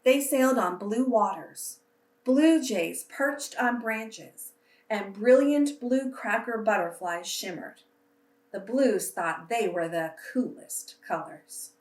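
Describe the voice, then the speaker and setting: A woman reading aloud to children as a storyteller, with a deliberate, simple delivery. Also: fairly high pitch, a little mellow. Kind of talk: children's picture book read-aloud with casual introduction. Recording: roomy echo, distant microphone.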